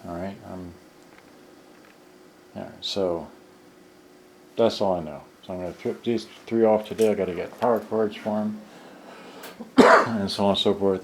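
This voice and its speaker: Low-voiced man rambling through a roomy demo. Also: background noise. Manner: rambling, fragmented narration while handling gear. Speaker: cassette player repairer demonstrating serviced units. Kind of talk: unscripted hands-on product demo. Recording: roomy, distant mic with loud music. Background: music from cassette players.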